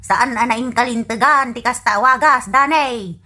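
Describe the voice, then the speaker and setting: An exasperated older wife in an audio comedy, acted by a woman. Her voice is high and bright. She scolds her husband sharply and answers him with disbelief. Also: unhurried. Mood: scolding. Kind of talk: comedy radio drama acting.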